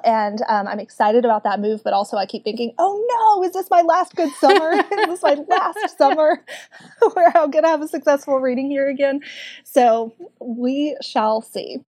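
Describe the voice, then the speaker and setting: Southern lady guest on a book podcast, chatty and laughy, voice on the higher side. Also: darkish tone. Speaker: podcast guest, book club community manager. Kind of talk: casual chat.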